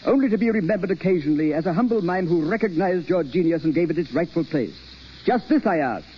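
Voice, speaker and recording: A man's voice: sits high, animated, fast, husky. A man playing a pompous, romantic stage star with florid 1930s radio delivery. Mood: grandly wooing. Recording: muffled, hissy 1930s radio recording.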